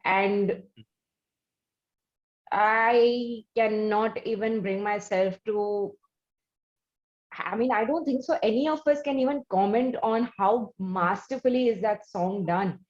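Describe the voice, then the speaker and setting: A woman with an Indian accent hosting a conversational interview, speaking in halting, drawn-out phrases. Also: mid-range voice. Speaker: interview host. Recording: muffled, echoey room sound.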